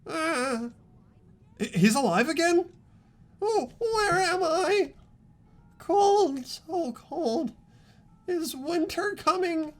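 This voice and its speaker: A performer voicing a delirious, tearful dying teenage boy in a fan game dub, weak and gasping with drawn-out words. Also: very high voice, animated, heavily acted. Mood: weak, dazed. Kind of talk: fan-dubbed visual novel acting.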